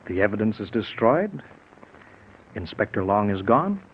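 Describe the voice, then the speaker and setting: An actor playing a cold-blooded wounded killer who gives urgent, clipped orders, in a 1940s radio drama style. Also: male voice, lowish voice, big pitch swings, measured pace, husky, exaggerated voice. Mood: grimly confident. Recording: muffled, hissy old radio drama recording.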